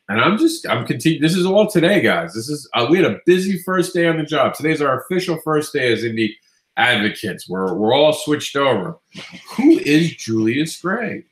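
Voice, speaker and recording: A man's voice: medium pitch, fairly bright tone. Congested nasal man in an echoey room. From Queens, New York. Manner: chatty, upbeat, self-correcting. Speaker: comics news host. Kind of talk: casual news roundup.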